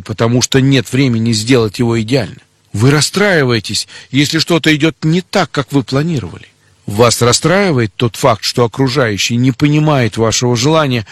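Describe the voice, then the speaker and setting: A middle-aged man delivers a sermon with a slightly rough, husky voice. He teaches point by point and turns questions on the listener. The sound is dry, with no room echo. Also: mid-range voice. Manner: didactic, poses rhetorical questions to listeners. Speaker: preacher. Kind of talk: sermon on family life, scripted teaching.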